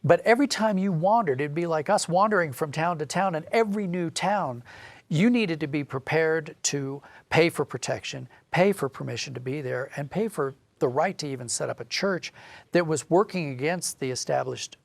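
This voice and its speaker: A man's voice: on the high side, normal speed, creaky, dry recording. A man speaking as an interview guest, explaining history in a discursive, thoughtful, conversational way. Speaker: interview guest, audio drama writer.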